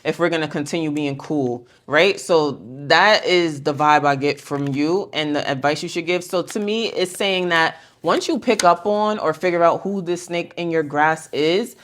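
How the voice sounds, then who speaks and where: A woman with a fairly low voice gives a casual tarot reading as the host of her own video. She speaks bluntly and conversationally, full of slang. She talks straight to her viewers like friends, animatedly spinning out scenarios. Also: moderate pace, hardly any pauses. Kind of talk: casual pick-a-card tarot reading to camera.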